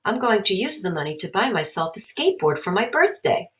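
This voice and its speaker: A woman's voice: mid-range voice, very animated, a little fast, fairly bright tone, voice pushed up. Lady doing a young kid from a storybook, eager, earnest, plain talking, set on his plan. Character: young boy, eager and determined, storybook hero.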